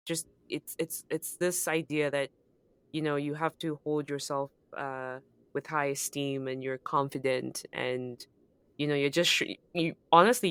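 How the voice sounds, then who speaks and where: A woman's voice: deep voice, a bit bright, smooth, no room sound. A woman co-hosting a podcast in casual conversation, speaking in an affirming, reflective way. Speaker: podcast co-host.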